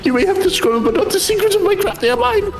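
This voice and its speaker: A man's voice: very high-pitched, big pitch swings, fast talker, echoey room, over loud music, pitched above the performer's own voice, exaggerated voice. A man voicing a vain, giddy scroll-crafter from a fantasy game, speaking in florid, theatrical, gloating phrases. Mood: possessive, triumphant.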